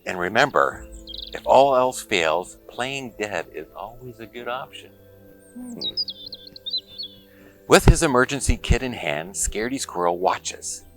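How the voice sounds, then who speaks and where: A man reading a picture book aloud to children in an expressive, dramatic storytelling manner. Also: medium pitch, music in the background. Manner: expressive, dramatic pauses and exclamations.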